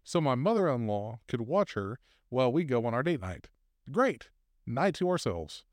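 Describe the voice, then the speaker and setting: A man's voice: medium pitch, very animated, moderate pace, no echo, studio quality. Man reading stories aloud with laughs. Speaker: podcast co-host reading posts aloud. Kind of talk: casual podcast chat.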